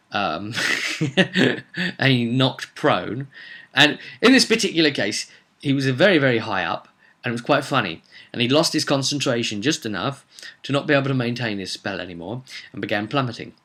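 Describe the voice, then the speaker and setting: A man with a bright, sharp-edged voice at an ordinary male pitch recaps a tabletop game as its game master. He tells it casually but dramatically, like an informal storyteller, repeating phrases to build suspense.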